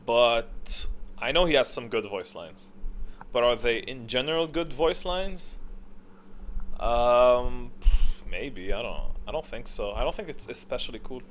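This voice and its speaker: A man's voice: mid-range voice, lively intonation, measured pace. A man giving casual, unscripted gaming tier-list commentary in a rambling, opinionated way. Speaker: video game content creator.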